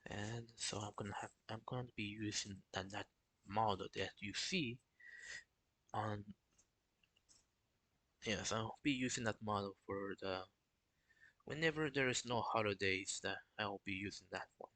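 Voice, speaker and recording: A young man talking casually on a live stream, in a flat, halting way with many restarts. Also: fairly low pitch, dry recording. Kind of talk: casual solo stream talk.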